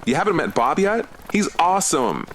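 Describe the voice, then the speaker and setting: A man's voice: on the high side, very animated, moderate pace, fairly bright tone, a little hiss or hum. Easygoing, enthusiastic male running buddy played by an actor. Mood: excited, playful. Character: friendly, earnest, cryptid-obsessed male running buddy. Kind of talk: conversational audio drama acting.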